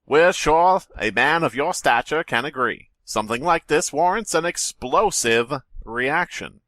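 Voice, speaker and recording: A man's voice: a little high, lively intonation, measured pace, bright, forceful tone, no echo. A man voicing a menacing conspirator in a mock-sinister dramatic reading of a threat letter. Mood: mock menace.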